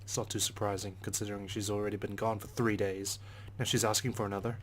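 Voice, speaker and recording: A man's voice: fairly low pitch, flat delivery, quick pace. A man reading a visual novel aloud in the first person with a dry, sardonic delivery. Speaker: let's play narrator.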